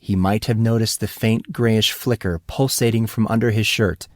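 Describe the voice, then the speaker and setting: Male audiobook narrator, low pitch, scripted fantasy storytelling, dry close recording. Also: steady tone, moderate pace, a little mellow, no room sound.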